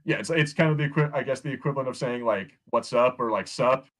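American man, quick delivery, casual podcast chat, rambling phrasing, review discussion. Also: mid-range voice, fairly bright tone. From United States. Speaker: podcast co-host.

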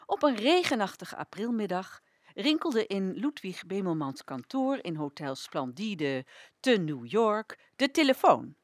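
Female narrator, audio drama. Very measured pace, animated intonation, dry close studio sound. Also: mid-range voice, no echo. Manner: expressive, deliberate storytelling. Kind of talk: radio play story narration.

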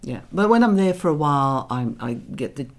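A woman's voice: low voice, animated, brisk. A middle-aged woman, Dutch-born, talking as an interview guest and telling stories with reflective, dry humour. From Netherlands. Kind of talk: candid interview conversation.

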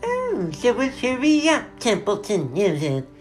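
A woman's voice: a little high, huge pitch swings, a little mellow, faint music behind, voice pushed up. Woman acting a grumpy, growling old storybook rat. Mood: mocking. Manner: growling, mocking, drawn-out complaints. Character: grumpy, sarcastic, self-pitying old male rat. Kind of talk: dramatic storybook character reading.

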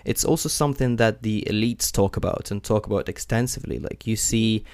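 A man's voice: lowish voice, fairly quick, a little mellow, no echo, studio quality. A young man from Ukraine hosting a podcast interview, curious and chatty, with many fillers. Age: young adult. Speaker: podcast host. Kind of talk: conversational interview.

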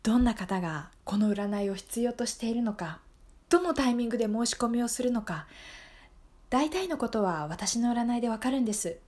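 Woman acting hushed, all-knowing female fortune-teller. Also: fairly high pitch, lively intonation, close to an everyday voice. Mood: confident. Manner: hushed, measured, reassuring, polite. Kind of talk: improvised radio drama acting.

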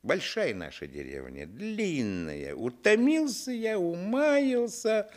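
A man's voice: high voice, slow, exaggerated voice. An actor playing a grown man fondly recalling his village boyhood, narrating a literary radio play expressively. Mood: reflective. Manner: expressive storytelling.

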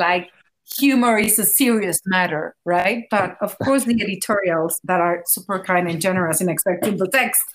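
A woman's voice: on the high side, rough recording. A middle-aged woman, a psychotherapist and coach, chatting as a podcast guest and laughing often while she tells stories. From Mexico. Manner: laughs often, lively storytelling. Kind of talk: casual conversation.

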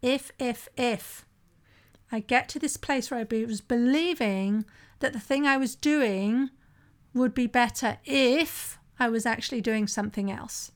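A woman's voice: on the high side, unhurried. A middle-aged woman hosting a solo podcast, talking conversationally and candidly about her own experiences, often checking in with the listener. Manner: conversational, frequently asks "right?" to the listener.

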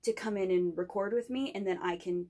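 A young woman student pitching her own project in casual conversation, rambling with fillers and restarts. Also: fairly quick, warm tone. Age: young adult.

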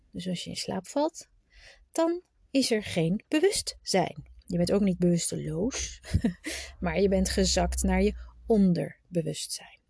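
A woman leading a guided meditation in a soft, soothing, instructive manner, at times trailing into a breathy whisper. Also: medium pitch, no echo. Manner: informal, instructive, with unhurried pauses.

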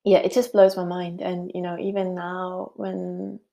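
A woman's voice: fairly low pitch, little pitch movement, a little slow, creaky, mellow. A middle-aged woman from Switzerland with a Swiss German accent, hosting a casual solo podcast in a chatty, upbeat way with frequent fillers. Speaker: podcast host.

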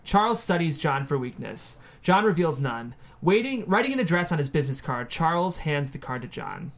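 Higher-voiced man narrating a screenplay. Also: sing-song, moderate pace. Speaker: stage-directions narrator.

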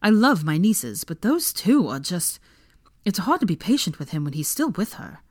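A woman plays a young male wizard in a fantasy audiobook, a loyal and concerned friend. The delivery is emphatic and lively, earnest with an exasperated edge. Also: lowish voice, normal speed, no echo, clean studio sound. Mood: relieved, frank.

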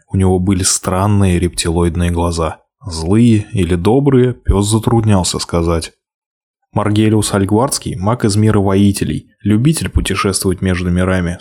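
A man reading a fairy tale aloud, expressive, slowing and hushing for the sad passages. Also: deep voice. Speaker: narrator. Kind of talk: storybook reading.

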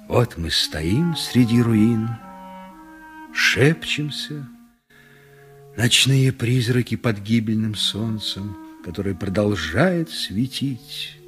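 An actor playing a somber survivor mourning among ruins, narrating gravely in a measured radio drama style. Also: male voice, lowish voice, expressive. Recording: music behind the voice.

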